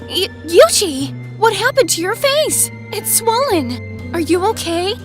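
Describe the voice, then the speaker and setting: Schoolgirl acted by a woman, girlish, very high pitch, expressive, anime-style drama. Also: slow, soft background music, heavily acted. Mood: worried. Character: sweet, concerned schoolgirl hiding a scheming streak.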